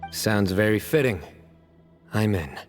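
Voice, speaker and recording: A man playing a stern, steady warrior judge in an anime-style video game, terse, serious and deliberate. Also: fairly low pitch, long reverb tail, soft background music. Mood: firm.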